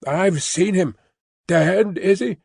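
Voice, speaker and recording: A man voicing a gruff, ill-tempered middle-aged working-class father in a storybook, scolding in blunt, ungrammatical speech. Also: high-pitched, takes it slowly, a little mellow, dry recording, studio quality, voice pushed up, full character voice. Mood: keenly interested.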